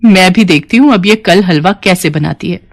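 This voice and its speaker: A woman's voice: fairly low pitch, normal speed, no room sound. A woman voicing a jealous, scheming sister-in-law musing slyly to herself in a moral story. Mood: sly, suspicious.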